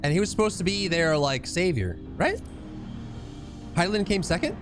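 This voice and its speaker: A man's voice: high-pitched, animated, normal speed, music in the background. A man doing casual, jokey playthrough commentary as a gaming streamer, often cutting himself off and exclaiming. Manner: jokey, self-interrupting, exclaiming. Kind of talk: casual playthrough commentary mixed with reading game dialogue aloud.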